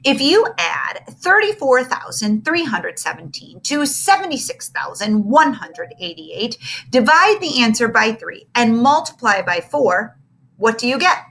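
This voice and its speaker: A woman's voice: a little high, sing-song, unhurried, a bit bright, pitched above the performer's own voice, heavily acted, rough recording. A woman reading aloud, voicing a stern but caring male schoolteacher who lectures formally. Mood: quizzing.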